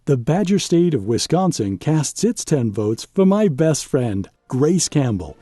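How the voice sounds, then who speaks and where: An earnest, proud grade-school pupil from a storybook, acted out by a man reading aloud. The voice is mellow and lifted, with animated swings as it makes its announcement over faint music. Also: on the high side, measured pace, breathy edge, no echo, full character voice. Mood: proud announcing.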